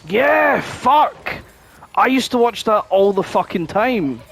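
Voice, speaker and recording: A man's voice: sits high, animated, takes it slowly, creaky, fairly bright tone, breathy edge. A man co-hosting a podcast in casual, informal chat with plenty of swearing. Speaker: podcast co-host.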